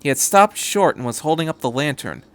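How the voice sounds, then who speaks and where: A man's voice: a little high, expressive, a little fast. A man reading a story aloud as a podcast host, in scripted narration. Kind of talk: scripted narration of fan fiction.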